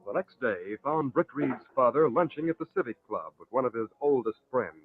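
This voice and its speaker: An actor as the narrator of a 1940s radio serial, delivering scripted narration. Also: male voice, mid-range voice, lively intonation, a little fast, natural voice. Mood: announcing. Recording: muffled old radio recording, music behind.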